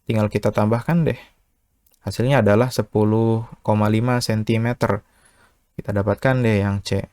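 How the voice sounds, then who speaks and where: A man's voice: lowish voice, steady tone, moderate pace, a little mellow, clean tone, no echo. A man, working through a physics problem patiently and step by step as an online tutor, often adding "eh" and "ya". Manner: patient, methodical, with filler "eh" and "ya".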